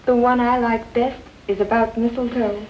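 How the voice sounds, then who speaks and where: Actress as high-voiced, teasing, practical wife. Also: female voice, level tone, normal speed, darkish tone, close to an everyday voice. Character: practical, teasing, affectionate wife and mother in 1820s America. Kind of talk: 1950s television drama acting. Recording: noisy vintage 1950s TV soundtrack.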